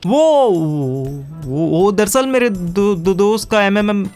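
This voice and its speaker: A man's voice: sits high, lively intonation, takes it slowly, a little mellow, soft background music. A man playing a cheeky, mocking, foul-mouthed young sidekick in an audio comedy drama. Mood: nervous. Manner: teasing, blurting, stammers when scared.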